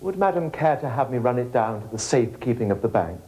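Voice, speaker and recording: A man's voice: medium pitch, lively intonation, fast talker, warm tone, lightly acted, low-quality recording. An actor playing a formal, obsequious butler who fusses over his mistress's spending. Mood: deferential. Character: deferential fretful male butler.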